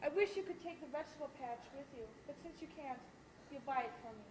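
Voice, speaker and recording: A woman's voice: sits high, fast, lightly acted. A woman playing a playwright's anxious, morally appalled wife in a live stage play, earnest and melodramatic. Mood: appalled, resolute. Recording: echoey, noisy amateur stage recording.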